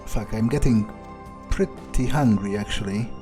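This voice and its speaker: A man's voice: fairly low pitch, natural voice. A man voicing a jaded, wary male vampire in a game playthrough, wryly muttering his thoughts in character. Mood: jaded, musing. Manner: unhurried, wry, muttering to himself. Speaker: gamer role-playing in character. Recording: faint game music behind.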